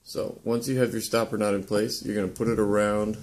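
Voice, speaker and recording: A young man casually demonstrating how to lash boat joints, talking in a relaxed, halting way with many ums and uhs. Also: lowish voice, a little hiss or hum. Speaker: woodworking instructor. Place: indoor workshop.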